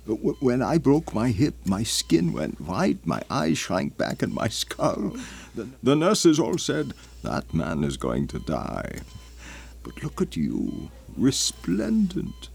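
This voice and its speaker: Elderly gallant gentleman, audio drama, adoring, gravelly, swooping pitch, theatrical. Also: wildly swooping pitch, slow, full character voice. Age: older. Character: doting, courtly old male suitor.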